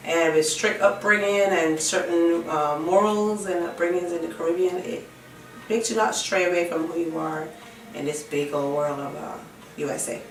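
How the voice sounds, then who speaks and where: A woman's voice: fairly low pitch, fairly even intonation, moderate pace. A woman in her fifties from Barbados with a Barbadian accent, answering questions in a casual documentary interview. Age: 50s. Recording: roomy, distant microphone, music behind.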